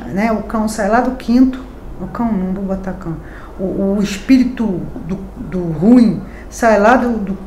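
A middle-aged Brazilian woman giving a daily horoscope card reading to camera, chatty and emphatic, talking straight to her viewers. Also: on the high side. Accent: Brazilian Portuguese. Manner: chatty and emphatic, addressing viewers directly with tag questions. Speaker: tarot reader. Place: small decorated home studio room. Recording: echoey room with faint ambient noise.

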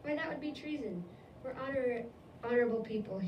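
A woman's voice: a little high, a little fast, highs cut off, a little hiss or hum, lightly acted. A woman playing a proud male colonist who earnestly explains and argues, in an echoey room recorded from a distance. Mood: lecturing. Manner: earnest, lecturing, indignant.

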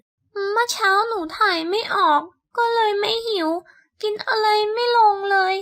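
A woman's voice: very high-pitched, steady tone, a little slow, fairly bright tone, no room sound, clean studio sound, pitched above the performer's own voice, exaggerated voice. A woman voicing a polite young girl duckling in a children's storybook, with a childlike way of speaking. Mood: sheepish.

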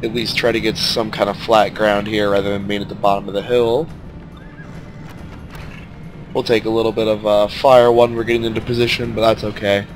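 A man with a stuffed-up, nasal voice giving relaxed, casual commentary while playing a strategy game. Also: fairly low pitch, brisk, over music. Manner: laid-back, thinking aloud as he plays. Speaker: let's play commentator.

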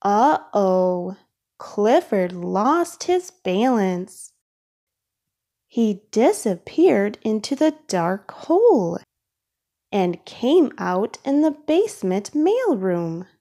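A woman reading a children's storybook aloud in a careful, expressive way for young listeners. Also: mid-range voice, drawn-out words. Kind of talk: read-aloud storybook narration.

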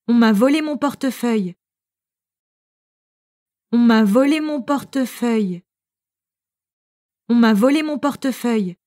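A woman narrator reading French phrases for learners, clearly and evenly, each one repeated. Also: a little high, a little slow, long pauses, darkish tone. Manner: reads each phrase three times, evenly.

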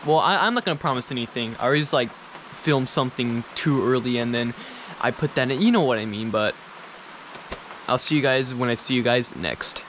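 A man's voice: medium pitch, a little fast, a bit bright, a little hiss or hum. A young man chatting casually to camera as a travel vlogger, rambling and self-deprecating. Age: young adult. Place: outdoors at a rural mountain train station in rain.

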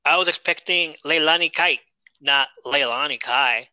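Male podcast co-host, very bright and smooth voice, dry close mic, chatty and joking. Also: a little high, normal speed, no echo. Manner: chatty, reads notes, jokes and laughs. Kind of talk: casual wrestling review chat.

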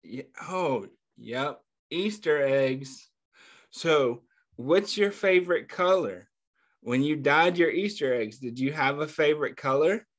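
A man reading a picture book aloud to young children, pausing often to ask them questions and praise their answers, and calling himself by name in the third person. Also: on the high side, lively intonation. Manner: gentle, patient, asks questions and pauses for answers. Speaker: children's story reader.

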